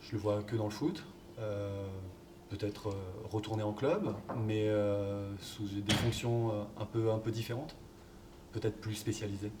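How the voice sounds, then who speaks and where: A man, a football coach, answering interview questions in a relaxed, hesitant way with many fillers. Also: lowish voice, measured pace. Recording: distant mic, background noise.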